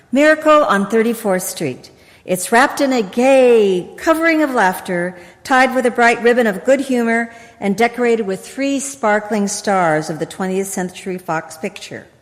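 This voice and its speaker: A woman's voice: a little high, lightly acted. A woman voicing a 1940s radio announcer, delivering a formal, declamatory greeting to listeners. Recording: long reverb in a hall.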